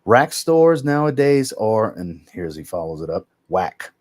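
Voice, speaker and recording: A man with a lowish voice chats easily on a livestream, hosting over a video call. He talks in a relaxed way, reads viewer comments aloud, and keeps the chat going. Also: a little slow, no echo, studio quality. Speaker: podcast host.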